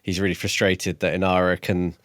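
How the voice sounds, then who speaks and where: A man co-hosting a podcast, discussing a book casually in measured, tentative phrases. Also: deep voice, flat delivery, fairly bright tone, no room sound, clean studio sound. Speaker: podcast co-host.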